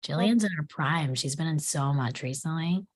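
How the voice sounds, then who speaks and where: Low-voiced woman chatting casually. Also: moderate pace, breathy edge. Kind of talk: casual group chat.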